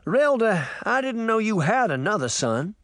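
A man's voice: sits high, sing-song, moderate pace, a bit bright, no echo, studio quality, voice pushed up. A man voicing a harried, baffled sheriff in a storybook, polite and concerned. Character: harried, baffled small-town lawman, polite, grown man. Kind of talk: audiobook character voice.

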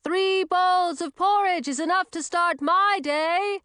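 Loud, high-pitched bellowing witch. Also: very animated, moderate pace, dry recording, studio quality. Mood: loud cheerful. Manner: booming, shouting delivery. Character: loud, cheerful, puzzled witch who shouts everything.

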